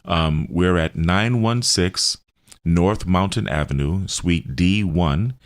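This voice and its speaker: Very deep-voiced American man explaining, dry studio. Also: slow pace, no echo. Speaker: radio show host and health center owner. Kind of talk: explanatory radio health talk.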